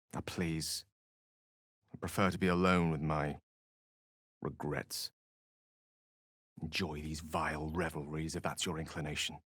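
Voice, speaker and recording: A man's voice: a bass voice, fairly bright tone, husky, no echo, clean studio sound, heavily acted. A male actor playing an erudite, wry wizard in a fantasy video game, articulate and theatrical, with a British accent and a streak of melancholy. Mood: subdued, regretful.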